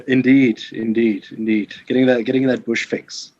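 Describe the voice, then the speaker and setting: A man's voice: mid-range voice, flat delivery, normal speed, a little mellow, dry recording. A man with a South African accent chatting as an interview guest, often repeating words and phrases, over slightly muffled call audio. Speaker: podcast guest, safari lodge sales representative. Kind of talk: casual conversational interview. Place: home office in Johannesburg, remote call.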